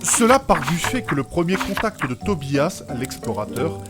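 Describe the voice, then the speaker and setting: A man's voice: on the high side, very animated, moderate pace, music in the background. A man playing a reserved, polite and long-suffering scholar in a comic fantasy audio drama, formal, hesitant and a little exasperated. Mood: explanatory.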